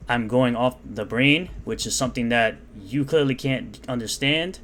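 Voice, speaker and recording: A man's voice: medium pitch, normal speed, a bit bright, slight background noise. A man reading out and mocking comments in an unscripted, sarcastic rant. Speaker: commentary YouTuber.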